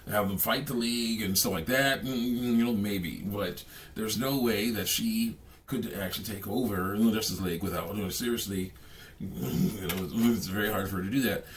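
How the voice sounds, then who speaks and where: A man with a fairly low voice hosts an informal game reaction. He rambles haltingly, often humming or chuckling between thoughts, on a home recording with faint noise. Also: low-quality recording. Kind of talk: casual off-the-cuff reaction commentary.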